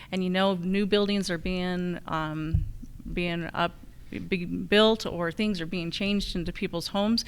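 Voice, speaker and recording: An American woman gives a candidate's answer at a public forum. Her voice sits a bit low and sounds clear and smooth. She is earnest, often halting and circling back over her points. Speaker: political candidate.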